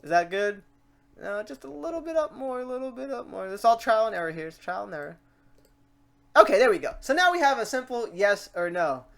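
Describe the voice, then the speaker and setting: A woman hosting a casual software tutorial, talking informally and reassuringly to the viewer in an animated way. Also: on the high side, a little fast, gravelly. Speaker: tutorial host.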